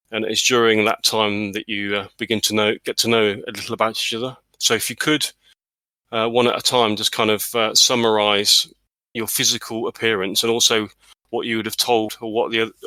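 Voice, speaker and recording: A man narrating as game master, telling the story in a measured way to the players and then guiding them through the table. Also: on the low side, bright, forceful tone, no echo, low-quality recording. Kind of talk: scripted period narration, then casual table talk.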